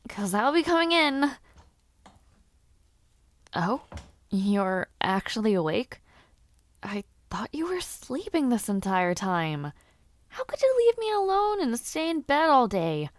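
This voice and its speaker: A woman's voice: on the high side, dry recording, studio quality, heavily acted. A woman voicing a chatty young noblewoman who loves gossip, talking casually and teasingly with frequent laughs. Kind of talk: audio roleplay addressed to the listener.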